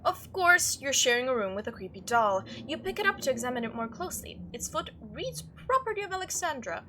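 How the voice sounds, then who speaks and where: A young woman narrates a visual novel as she plays. Her voice is high and highly animated, moving between expressive reading and playful asides. The home microphone recording carries clear noise. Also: fairly quick. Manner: animated, expressive read-aloud. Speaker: game streamer.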